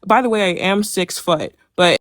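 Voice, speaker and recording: A woman's voice: mid-range voice, moderate pace, fairly bright tone, dry recording, studio quality. A woman in an online debate, probing with repeated questions and interrupting.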